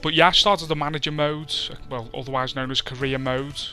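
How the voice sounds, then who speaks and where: Scouse man, very bright tone, chatty gaming commentary, faint music behind. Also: medium pitch, fairly even intonation, a little fast. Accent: Scouse (Liverpool). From Liverpool, England. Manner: chatty, self-deprecating, rambling. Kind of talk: casual let's play commentary.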